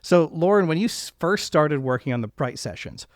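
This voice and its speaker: A man's voice: a little high, animated, moderate pace, dry recording, clean studio sound. A man hosting an interview podcast, speaking in an earnest, effusive way. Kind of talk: podcast interview introduction and chat.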